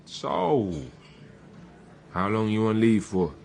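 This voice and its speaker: A man's voice: on the low side, lively intonation, takes it slowly, gravelly, a little mellow, slight background noise, full character voice. An actor with a British accent playing a mild, earnest young policeman, conciliatory and probing, in naturalistic radio drama dialogue. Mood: surprised, probing.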